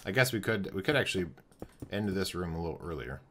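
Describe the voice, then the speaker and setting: Young male streamer, very low voice turning hoarse, casual think-aloud commentary at a desk mic, light keyboard noise. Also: lively intonation, fast, sharp tone. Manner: thinking aloud while building, greeting chat viewers. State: losing his voice. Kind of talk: live gameplay commentary.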